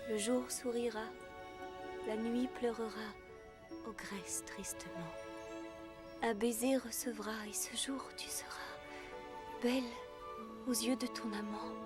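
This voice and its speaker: A woman voicing a romantic princess in an animated film who speaks in flowery, formal phrases. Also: a little high, slow, long pauses, over loud music. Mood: sad. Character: romantic princess cursed to become an ogress.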